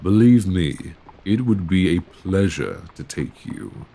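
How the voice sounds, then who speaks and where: A man playing a suave, courteous Victorian gentleman vampire in an intimate ASMR roleplay, speaking in genteel, solicitous phrases close to a binaural microphone. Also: very animated, takes it slowly, full character voice. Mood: charming, inviting. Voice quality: low, creaky voice.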